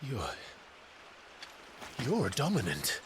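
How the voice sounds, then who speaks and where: An actor playing a brooding, guarded young warrior out for vengeance, in a video game. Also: male voice, medium pitch, huge pitch swings, slow pace, noisy background. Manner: terse, guarded. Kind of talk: video game cutscene acting.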